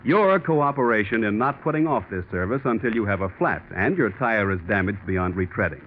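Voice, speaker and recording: A narrow, tinny old radio recording with faint noise carries a male announcer. He reads a scripted 1940s commercial formally and emphatically, with lively swings in pitch. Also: fairly quick.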